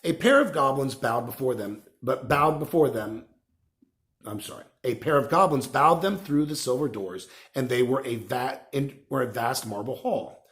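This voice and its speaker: A man reading a children's novel aloud as an expressive storyteller. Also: mid-range voice, moderate pace. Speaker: reader, storyteller.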